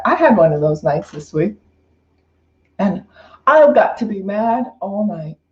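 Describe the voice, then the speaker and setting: A woman minister giving an informal, unscripted spiritual talk in a reflective, conversational way, often repeating and rephrasing her phrases. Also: mid-range voice. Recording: roomy, slightly dull lo-fi sound.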